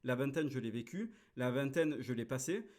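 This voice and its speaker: Brief: man, 30s, host, blunt and crude, mid-pitched, casual reaction talk. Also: lively intonation, moderate pace, a little mellow.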